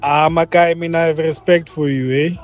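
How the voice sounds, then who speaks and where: A man's voice: a little high, level tone, brisk, faint music behind. A South African man sending a casual, enthusiastic voice note, full of slang. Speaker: caller leaving a voice note.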